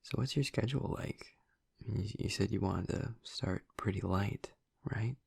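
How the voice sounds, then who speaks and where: Hushed, gravelly young male vampire played by a man. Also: lowish voice, normal speed, no room sound, heavily acted. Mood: curious, attentive. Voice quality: breathy, husky, gravelly murmur. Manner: hesitant, rambling, casual. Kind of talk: intimate audio roleplay addressed to the listener.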